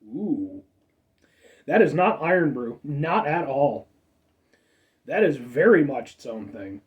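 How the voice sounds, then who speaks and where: A man's voice: mid-range voice, very animated, takes it slowly, far from the mic, lo-fi sound. A man in his thirties hosting a casual soda review show, rambling with chatty asides and a slightly stuffed-up nose. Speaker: food review show host.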